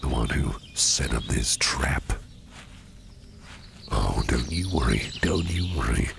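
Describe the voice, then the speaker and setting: A man playing a protective, faintly sinister businessman boss in an ASMR roleplay, speaking in a soothing, unhurried, gravelly half-whisper. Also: extremely low pitch, lively intonation, background noise, exaggerated voice. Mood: quietly menacing. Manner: soothing, unhurried, repeats reassurances. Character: sinister yet protective businessman boss, male, smooth-talking, doting.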